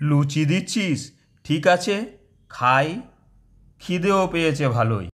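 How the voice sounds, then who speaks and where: A man's voice: a little high, animated, measured pace, a bit bright. A man voicing a grumbling, put-upon male relative in a cartoon, given to exclaiming and complaining. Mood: pleased. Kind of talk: cartoon voice acting.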